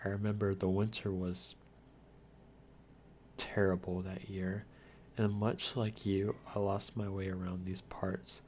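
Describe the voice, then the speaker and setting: Guy doing a lonely wry vampire for asmr roleplay, lowish voice, bit of noise in the recording, guarded and kinda theatrical. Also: level tone, unhurried, long pauses, a little mellow. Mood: wistful. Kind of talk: ASMR roleplay monologue to the listener.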